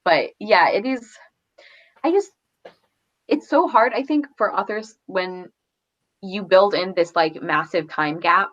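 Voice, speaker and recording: A woman's voice: medium pitch, slow, pauses often, a bit bright, muffled recording. A woman chatting casually about books in a rambling, conversational, giggly way. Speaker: book discussion host. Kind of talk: casual book discussion chat.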